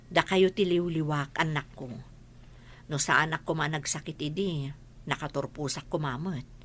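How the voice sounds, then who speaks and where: A woman's voice: sits low, moderate pace, fairly bright tone. An actress playing a wistful, proud mother with old regrets in an audio drama. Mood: regretful. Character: wistful, proud mother who never finished school. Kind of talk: Ilocano audio drama acting.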